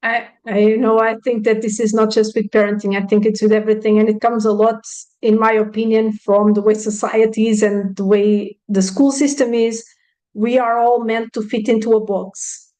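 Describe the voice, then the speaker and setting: A woman's voice: on the high side, level tone, normal speed, a little mellow, rough recording. A woman in her thirties, speaking frankly and reflectively as an interview guest, with many "you know" fillers. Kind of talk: casual interview conversation.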